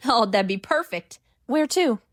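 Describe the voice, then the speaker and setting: A woman's voice: fairly high pitch, lively intonation, measured pace, loud music behind the voice. A woman voicing a plucky, overburdened young cartoon working girl with a breezy, sassy manner.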